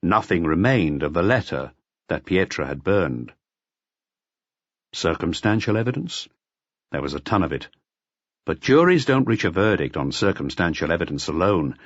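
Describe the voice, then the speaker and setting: A man reading a detective novel aloud as an expressive audiobook narrator. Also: low-pitched, normal speed, pauses often, slightly rough, no room sound, lo-fi sound.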